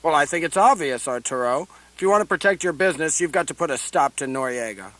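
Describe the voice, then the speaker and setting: A shifty, scheming male secret agent acted by a man in a satirical radio play. His voice sits a little high for a man. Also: expressive, fast talker, fairly bright tone, no echo, poor audio.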